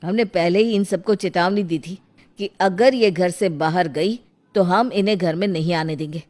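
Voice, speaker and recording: A woman's voice: on the low side, normal speed, a little mellow, natural voice. A woman playing an aggrieved, stubborn male head of a household in a village cartoon story. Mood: accusing. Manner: accusing, emphatic.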